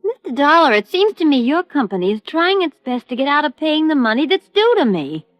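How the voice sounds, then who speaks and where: Defensive american widow, high voice, clipped and fed up, old radio drama, dull lo-fi recording. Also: female voice, big pitch swings, a little fast, dry recording, soft background music. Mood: indignant. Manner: clipped, indignant. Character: defensive, impatient widow under questioning. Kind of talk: 1950s radio drama acting.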